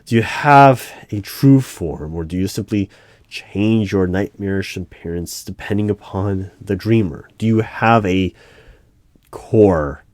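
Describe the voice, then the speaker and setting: A man playing an unnerved, exasperated hiring manager in a one-sided audio roleplay, with halting speech that repeats words. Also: low voice, a little mellow, dry recording. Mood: resigned, uneasy.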